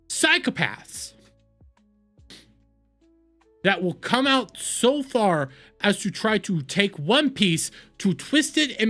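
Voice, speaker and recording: A man's voice: sits high, a bit bright. Angry man ranting with profanity. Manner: heated, profane, repetitive ranting. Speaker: reaction video host. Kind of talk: angry commentary rant.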